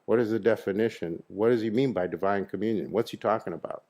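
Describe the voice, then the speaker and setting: A man with a low-pitched voice leads a spiritual gathering, questioning his listeners and pressing his points with emphasis. He speaks into a handheld microphone in a room with an audience. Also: fast, darkish tone. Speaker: spiritual teacher leading satsang. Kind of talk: interactive spiritual teaching with a group.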